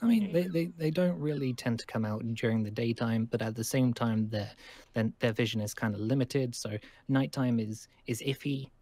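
A man's voice: mid-range voice, normal speed, mellow, natural voice. A man playing a nervous, apologetic young survivor in an improvised game roleplay, stammering and over-explaining as he goes. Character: nervous, rambling, apologetic young male survivor living at school.

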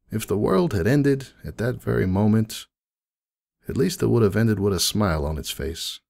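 A man voicing a jaded, rueful middle-aged pony who runs a donut shop, rambling folksily in a confiding dramatic monologue with a gravelly voice. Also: fairly low pitch, a little mellow, full character voice. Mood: proud, nostalgic. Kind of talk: dramatic first-person monologue reading.

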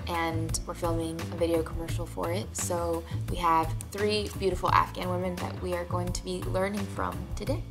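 A woman's voice: fairly low pitch, little pitch movement, moderate pace, few pauses. A young woman vlogger chatting casually as she hosts and directs a shoot. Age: young adult. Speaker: host and campaign director. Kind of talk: casual vlog chat. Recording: roomy echo, music underneath. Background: music.

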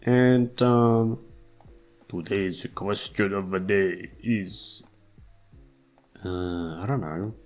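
A man's voice: low-pitched, drawn-out words, long pauses, darkish tone. A young man chatting casually to his listeners in a hesitant, self-deprecating way, swearing freely and trailing off. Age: young adult. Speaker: YouTube voice actor and channel host. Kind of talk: casual rambling chat to listeners. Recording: music playing behind the voice. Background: background music.

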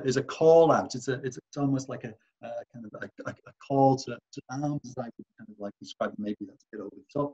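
A man's voice: mid-range voice, darkish tone, husky, lo-fi sound. A man giving an artist talk over slides, hesitant and full of restarts and fillers. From Wales.

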